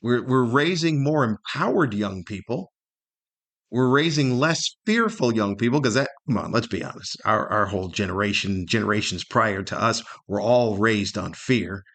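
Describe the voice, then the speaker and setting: A man's voice: fairly low pitch, expressive, normal speed, a bit bright. A middle-aged man hosting a solo podcast, giving humorous, opinionated commentary with a grumbling, incredulous manner full of rhetorical questions. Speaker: podcast host.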